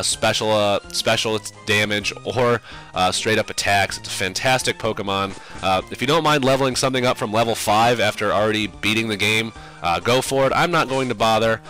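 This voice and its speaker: A man's voice: on the low side, pushed and bright. A young man with a cold, sounding sinusy, casually rambling through let's play commentary with lots of fillers. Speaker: game commentator.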